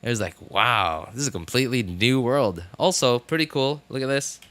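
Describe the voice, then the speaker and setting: A man in his thirties chatting casually on a livestream, rambling and haltingly thinking aloud. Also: medium pitch, a bit bright, dry recording.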